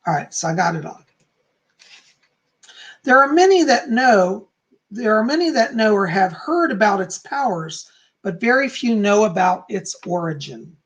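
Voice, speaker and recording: A calm, soft-spoken man who is hoarse and losing his voice, teaching on a livestream and reading aloud from a book. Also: high-pitched, unhurried. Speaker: psychic teaching about folk magic.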